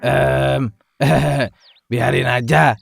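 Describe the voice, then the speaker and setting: Slow, very gravelly hapless cartoon guard by a man. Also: mid-range voice, fairly even intonation, faint music behind, heavily acted. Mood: easygoing. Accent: Jakarta Betawi. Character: hapless, grumbling coffee-loving park security guard, adult man. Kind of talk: comic cartoon dubbing.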